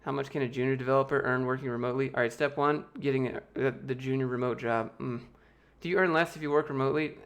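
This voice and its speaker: An American man chats through viewer questions on a live stream from a room at home, speaking into a desk mic. His delivery is loose and offhand, with quick jokes between answers. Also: medium pitch, fairly even intonation, a bit bright. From United States. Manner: loose, joking, reads chat questions aloud and answers offhand. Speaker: YouTube host answering chat. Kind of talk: casual livestream Q&A.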